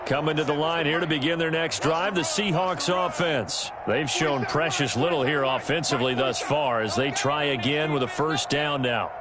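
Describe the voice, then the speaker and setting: A man's voice: a little high, moderate pace, very noisy, close to an everyday voice. A man acting as an upbeat football play-by-play announcer with an American accent, making clipped present-tense calls and chatting with a partner. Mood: focused, energetic. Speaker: play-by-play sports commentator. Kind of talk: American football game commentary.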